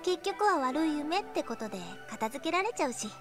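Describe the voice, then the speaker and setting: A woman's voice: high voice, expressive, racing, music in the background. A woman playing a mysterious, mischievous and affectionate young girl in a Japanese visual novel. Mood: impish.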